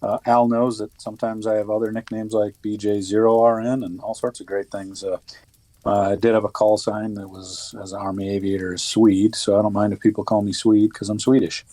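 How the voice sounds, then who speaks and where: A man in his fifties, a retired Army officer, talking as a podcast guest in a casual, halting way with many restarts and fillers. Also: fairly low pitch, little pitch movement, mellow, dry recording. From United States. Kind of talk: casual interview conversation.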